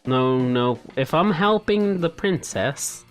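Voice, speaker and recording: Animated man doing casual game commentary. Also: fairly high pitch, takes it slowly, faint music behind. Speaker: gaming video host.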